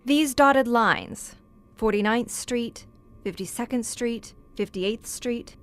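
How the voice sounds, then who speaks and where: A woman's voice: a little high, slow. An actress playing a curious, polite young woman writer, speaking with careful enunciation in an old-time radio horror drama. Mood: puzzled, probing.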